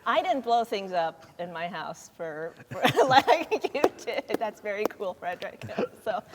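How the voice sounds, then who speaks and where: A woman from Taiwan speaking on a panel, often laughing and pausing with fillers. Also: medium pitch, sing-song, noisy background. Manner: warm, giggly, halting with fillers. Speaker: music nonprofit chief executive. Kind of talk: panel conversation. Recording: long hall reverb.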